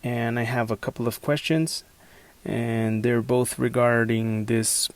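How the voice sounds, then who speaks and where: A man's voice: mid-range voice, steady tone, a little slow. A man asking a recorded listener question, politely and hesitantly, searching for his words. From Mexico. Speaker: listener sending an audio question.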